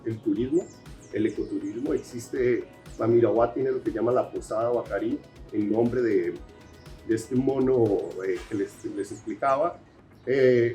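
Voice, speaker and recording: A Colombian man whose Spanish carries Brazilian Portuguese traces. He answers at moderate pitch, often pausing on "eh" and restarting phrases. Soft music plays faintly behind him. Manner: hesitant, many fillers and restarts. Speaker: researcher guest. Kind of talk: interview answers.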